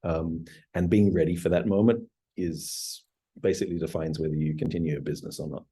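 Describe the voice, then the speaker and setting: A man's voice: deep voice, steady tone, brisk, dark tone, slightly rough. A man in his forties, a company founder answering interview questions in a hesitant, reflective way, full of fillers and restarts. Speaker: startup CEO guest.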